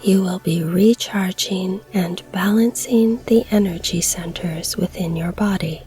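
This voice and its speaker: A woman guides a meditation at a slow, unhurried pace. Her voice is mellow, soft and breathy, with soothing phrasing and long pauses. Quiet music plays faintly behind her. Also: fairly low pitch. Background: calm background music.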